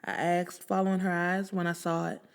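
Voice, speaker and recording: A woman's voice: on the low side, flat delivery, normal speed, smooth. A woman narrating a story in the first person with dramatic, emphatic, slangy delivery. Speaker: audiobook narrator.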